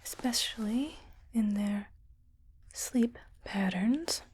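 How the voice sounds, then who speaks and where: Slow, whispering female spaceship doctor played by a woman. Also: fairly high pitch, a little mellow. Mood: calm, clinical. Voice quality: breathy, mostly unvoiced whisper. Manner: slow, measured, clinical and soothing. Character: calm, reassuring female ship's doctor in science fiction. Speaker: ASMR roleplay performer. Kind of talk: whispered ASMR medical roleplay.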